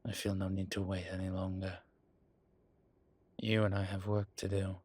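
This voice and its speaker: Male actor as brooding vampire lead for audio drama: husky, low, slow, proud and weary. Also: completely flat delivery, a little mellow. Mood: resolute, proud. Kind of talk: dramatic audio roleplay acting.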